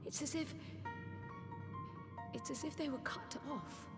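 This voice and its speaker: A studious, polite young seer from a video game, speaks with careful earnestness. Her voice is fairly high. It carries long hall reverb over clearly audible music. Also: measured pace. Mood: bewildered. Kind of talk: video game cutscene acting.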